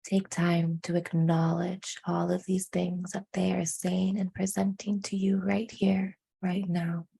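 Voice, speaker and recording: Woman doing guided meditation, real slow and soft, husky low-ish voice, soothing. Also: little pitch movement. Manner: hushed, soothing, deliberate phrasing with frequent pauses.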